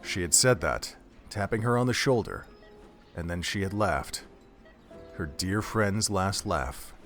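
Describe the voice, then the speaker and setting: A man's voice: sits low, lively intonation, a little slow, fairly bright tone, faint music behind. A man reading scripted third-person narration as the storyteller of an audio drama.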